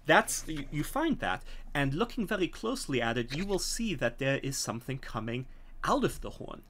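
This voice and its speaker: A middle-aged man narrating a tabletop horror game as game master, deliberate and often pausing, on a home video call. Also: a little high, very animated, a bit bright.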